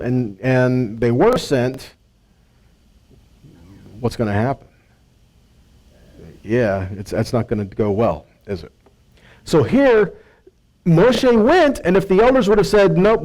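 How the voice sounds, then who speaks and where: Higher-voiced man teaching scripture. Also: darkish tone, poor audio. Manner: asks rhetorical questions and checks in with listeners. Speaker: Bible teacher. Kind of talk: informal teaching sermon with scripture reading.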